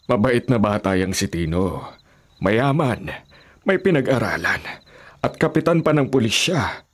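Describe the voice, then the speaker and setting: A man's voice: lowish voice, very animated, a little slow. A man playing a stubborn, bossy older father, hard of hearing, in a Filipino radio drama. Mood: bossy, persuasive. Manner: bossy, commanding.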